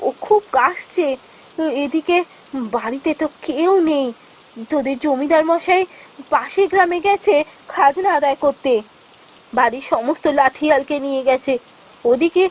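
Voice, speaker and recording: An anxious village woman from a cartoon fairy tale, voiced by a woman, with an extremely high voice. She is earnest and pleading in her manner. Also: lightly acted, low-quality recording.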